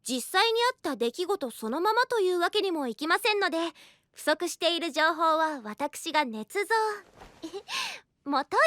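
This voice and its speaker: Scheming young princess, super high dainty voice, close dry sound, formal anime drama style. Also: female voice, big pitch swings, a bit bright, no room sound, exaggerated voice. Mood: breezy, unapologetic. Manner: very formal, courtly, playfully brisk. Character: polite, scheming young princess writing a play. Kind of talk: Japanese anime audio drama acting. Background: faint background music.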